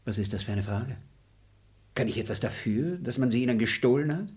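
An actor playing a sly, defensive bailiff who swings from muttering to indignant shouting in a classic radio play. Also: male voice, mid-range voice, animated, fairly quick, natural voice. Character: blustering sly male bailiff, shifty and defensive. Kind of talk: classic radio drama acting.

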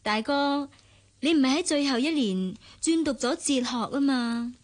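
An actress with a Hong Kong Cantonese accent playing an earnest, principled young bride-to-be who speaks candidly in a radio drama. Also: female voice, sits high, lively intonation, measured pace. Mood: sisterly. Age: young adult.

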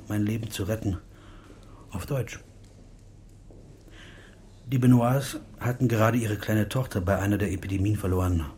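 An actor playing a grave, bitter Russian dissident intellectual in a radio drama, speaking in a deliberate, confiding way. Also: male voice, lowish voice, darkish tone, slight background noise, natural voice.

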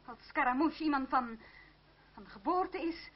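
An actress playing a proud, indignant young daughter in an old radio drama, with a theatrical, protesting delivery. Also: female voice, high-pitched, animated, measured pace. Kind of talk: old-fashioned Dutch radio drama acting. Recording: muffled old radio play recording.

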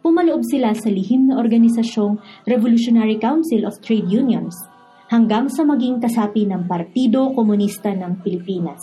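A woman's voice: fairly high pitch, moderate pace, mellow, slightly rough, low-quality recording. A woman with a Filipino accent hosting a radio drama series and reading scripted narration. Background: background music.

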